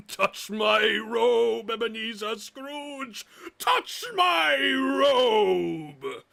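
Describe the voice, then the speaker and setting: An actor playing a jolly giant Christmas spirit in a vintage-style radio drama, with a hearty, declamatory manner. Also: male voice, sits high, animated, drawn-out words, creaky, pushed and bright, full character voice. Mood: grand, kindly.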